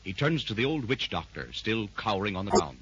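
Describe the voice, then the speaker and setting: A man's voice: on the low side, big pitch swings, fairly quick, a little hiss or hum. A man narrating a 1930s radio adventure serial in a dramatic, emphatic style. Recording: dull old radio recording.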